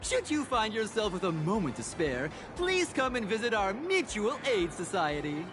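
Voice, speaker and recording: A woman's voice: mid-range voice, big pitch swings, normal speed, music in the background, natural voice. An actress playing a saccharine, pious female recruiter for a happiness cult in a video game, effusive, formal and sanctimonious. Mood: effusive preaching. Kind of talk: theatrical video game voice acting.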